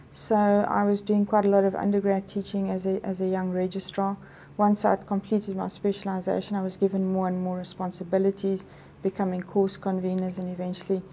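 A middle-aged South African woman, an Afrikaans speaker, answers in a measured, explanatory way. Her voice is mellow and a little gravelly, recorded in a quiet office set with faint room hiss behind. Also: medium pitch. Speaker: medical professor. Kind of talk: interview answers. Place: office interview set, indoors.

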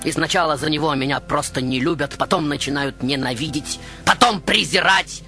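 A man playing a proud, stubborn baroque composer, telling his story as a theatrical radio monologue with rhetorical pauses. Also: on the high side, creaky, sharp tone, soft background music. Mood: grave, proud. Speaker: radio show host in character. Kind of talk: dramatic first-person radio monologue.

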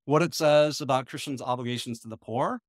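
A middle-aged man from the United States gives a conference talk over a video call, his voice sitting in a middle register. The sound is close and dry, with no room echo. He speaks in a measured, thoughtful way, pausing on ums and restarting his sentences. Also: medium pitch. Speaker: conference lecturer. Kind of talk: online lecture leading a discussion. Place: small indoor room. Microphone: video call webcam.

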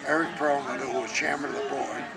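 Older man reminiscing slowly outdoors. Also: mid-range voice, level tone, vocal fry. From New Jersey, US. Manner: slow, halting, with frequent pauses and fillers. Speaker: interviewee. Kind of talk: casual reminiscing interview. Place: outdoor family picnic in a park. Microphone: camcorder mic a little distance off. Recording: busy outdoor crowd noise. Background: crowd at a picnic.

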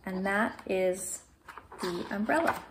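A woman in her thirties reading a children's picture book aloud in a gentle, measured, clearly phrased way. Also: medium pitch, slight background noise, rough recording. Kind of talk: children's storybook read-aloud. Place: small indoor room at home.

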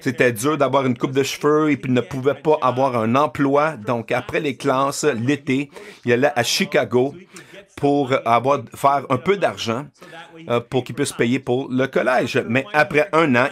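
A man's voice: mid-range voice, moderate pace. A man giving a live spoken interpretation of a keynote over a video call, hesitant, with frequent filler sounds and restarts.

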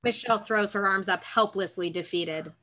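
A woman's voice: mid-range voice, normal speed, bright, forceful tone. A woman narrator reading stage directions as scripted narration on a video call. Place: room at home. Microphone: computer mic on video call.

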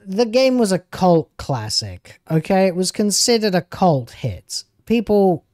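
A man's voice: high voice, very animated, measured pace, a little mellow, no echo, studio quality. A man in his thirties streaming from home on a headset mic, reacting to video clips in casual, argumentative commentary. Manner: argumentative, talks back at the clips.

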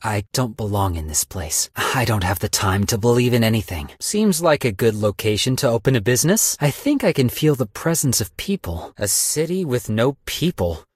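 A calculating salaryman from a video game, a cynical stock broker with a husky voice. His delivery moves around in pitch while staying wry, polite and businesslike. The sound is dry and close. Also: very animated, normal speed, few pauses, dry recording. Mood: wry, detached. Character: smooth-talking, money-minded, cynical male stock broker. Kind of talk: video game character voice lines.

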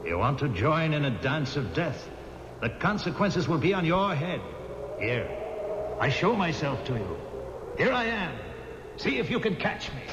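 An actor playing a menacing, mysterious graveyard stranger, ominous and declaiming, in 1970s radio horror style. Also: male voice, on the high side, sing-song, measured pace. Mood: menacing, taunting. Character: eerie, menacing graveyard stranger, man or ghost. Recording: muffled old radio recording with noisy ambience.

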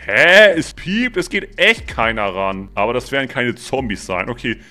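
A man's voice: mid-range voice, lively intonation, moderate pace, bright, forceful tone, voice pushed down. A man voicing a brash, bossy firefighter in a game role-play story, with an emphatic delivery. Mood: dismissive, brash. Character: sceptical, dismissive male firefighter, excitable and bossy.

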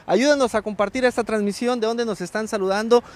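A man's voice: high voice, steady tone, fast. A man in his thirties hosting a street interview by the sea, joking and upbeat, speaking into a handheld microphone. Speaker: reporter and host. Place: seaside street outdoors in sunshine.

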